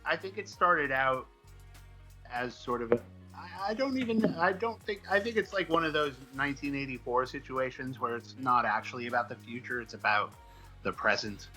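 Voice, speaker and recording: Male guest, casual interview talk, mid-pitched and very bright, halting, self-deprecating delivery over faint music. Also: lively intonation, rough recording. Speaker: comic book writer being interviewed. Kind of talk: casual chat, interview answers.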